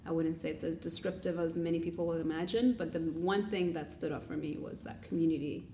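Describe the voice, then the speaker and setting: A woman from Kenya with a deep voice for a woman, answering as a guest. She talks reflectively with frequent pauses, recorded slightly far from the mic in an echoey room. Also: fairly even intonation, dark tone. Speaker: guest, food business founder. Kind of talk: reflective casual interview talk. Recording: roomy, distant mic, background noise.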